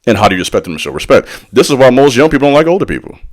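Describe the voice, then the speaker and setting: A man's voice: mid-range voice, sing-song, rapid-fire. Man, spiritual teacher, preacherly, slangy, direct address, call-and-response asides. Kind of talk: motivational spiritual talk.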